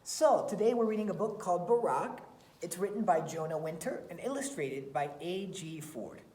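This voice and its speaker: A woman reading a children's picture book aloud in an expressive storytelling style. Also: lowish voice, moderate pace. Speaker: read-aloud reader. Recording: roomy, echoey sound.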